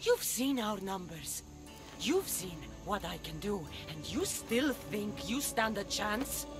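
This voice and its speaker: A woman's voice: medium pitch, very animated, takes it slowly, over music. A woman playing a fierce young demigod cult warrior in a video game, contemptuous and threatening as she talks. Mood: proud threatening. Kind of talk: video game dramatic acting.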